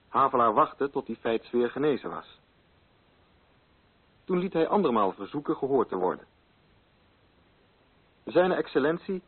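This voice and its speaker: An actor as a measured, ironic male storyteller, giving formal, deliberate radio drama narration in a husky voice. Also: medium pitch. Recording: muffled, phone-like old radio recording.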